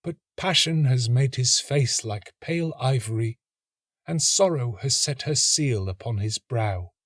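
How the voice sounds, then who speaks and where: A man's voice: mid-range voice, expressive, a little slow, a bit bright, no room sound, clean studio sound. A man voicing a tender female nightingale in a storybook, earnest and romantic, with a lyrical, wondering delivery. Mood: wondering, admiring. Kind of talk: storybook reading.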